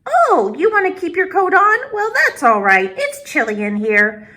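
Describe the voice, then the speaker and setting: A doting little old grandmother from a storybook, chatty and motherly as she fusses over her guest, put on by a woman reading aloud. Her voice sits high and swoops up and down in a bright, clear tone. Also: huge pitch swings, normal speed, exaggerated voice. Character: kindly fussing old grandmother, small and warm-hearted.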